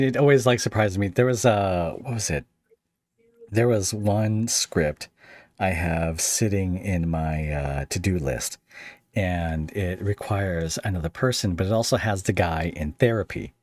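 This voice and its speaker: Low-voiced man chatting as podcast host. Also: darkish tone, no room sound. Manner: halting, wandering, self-interrupting questions. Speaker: podcast host interviewing a script writer. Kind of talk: casual interview chat.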